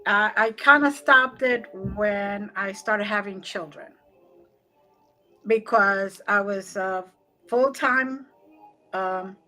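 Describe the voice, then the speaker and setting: A woman's voice: mid-range voice, takes it slowly, faint music behind, poor audio. A woman in her sixties from New Jersey with a slight Cuban-tinged accent, telling stories as a guest in a casual conversation and acting out the voices she quotes. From Newark, New Jersey. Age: 60s. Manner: animated, acts out quoted voices. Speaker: guest spiritual practitioner.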